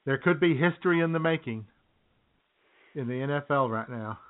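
A man co-hosting a radio show, relaxed and chuckling through casual banter. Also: mid-range voice, moderate pace. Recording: tinny phone-like sound.

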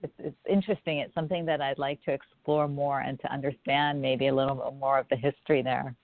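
A guest from Jamaica talks through interview answers, thoughtful and measured, with a mid-range pitch. The line is dry and tinny, like a phone call with no room sound. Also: fairly even intonation, gravelly, no room sound. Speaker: filmmaker guest.

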